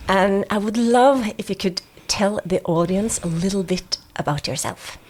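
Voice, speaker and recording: A woman hosting a podcast, greeting her guest and asking questions in a warm, eager way. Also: medium pitch, normal speed. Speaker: podcast host.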